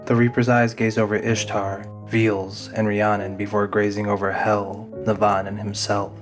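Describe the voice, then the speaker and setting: Low-voiced man narrating a fantasy drama. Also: flat delivery, moderate pace. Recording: music behind the voice.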